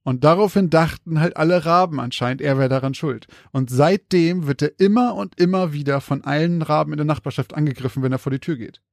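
A man's voice: fairly high pitch, a little fast, gravelly, few pauses, dry recording, clean studio sound. A man chatting casually as a podcast co-host, telling things in a colloquial storytelling way.

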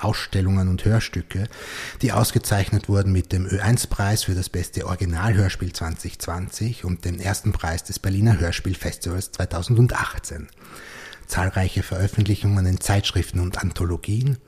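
An Austrian German man hosts a literary podcast with a very low, slightly husky voice. He reads long lists in a measured, unhurried way and then moves into an easy conversation with his guest. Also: darkish tone. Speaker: podcast host. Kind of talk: literary interview, reading a guest bio.

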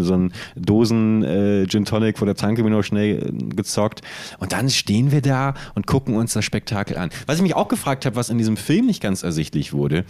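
Animated low-voiced man, stumbling over words. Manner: stammers and repeats words, playfully animated. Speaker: podcast co-host. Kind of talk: casual comedic podcast chat.

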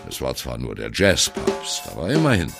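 An actor playing a wry, self-doubting ageing jazz drummer, telling his memories drily in a radio play monologue, with a gravelly, husky voice. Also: rumbling low, huge pitch swings, normal speed, music in the background, exaggerated voice. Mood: wry, admiring. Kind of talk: radio play monologue, first-person narration.

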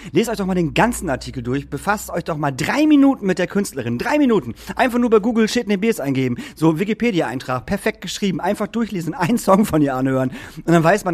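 A man, ranting in a casual podcast chat, swearing freely and filling gaps with hesitations. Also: fairly high pitch. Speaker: podcast co-host.

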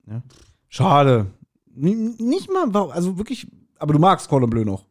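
A man's voice: fairly high pitch, wildly swooping pitch, moderate pace. A man chatting casually and teasingly as a podcast co-host.

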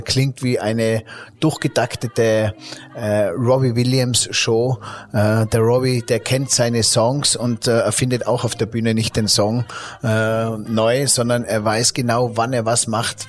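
Ein Mann mit österreichischem Akzent, der als Gastgeber im Gespräch lebhaft erzählt und oft nach Worten sucht. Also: male voice, mid-range voice. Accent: Austrian German. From Austria. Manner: lively, searching for words with fillers and restarts. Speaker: podcast host. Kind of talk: casual interview talk.

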